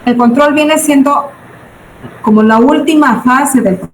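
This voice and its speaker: A woman's voice: high-pitched, measured pace. A woman in her forties with a Mexican accent, giving an informal lecture to students in a didactic, question-and-answer manner. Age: 40s. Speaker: business budgeting teacher. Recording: roomy echo, background noise.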